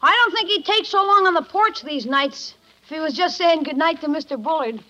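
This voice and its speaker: An actor playing a cheeky, wisecracking young nephew in a 1950s radio sitcom, brash and teasing. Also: male voice, extremely high pitch, fairly quick, sharp tone, slight background noise. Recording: muffled vintage 1950s radio recording.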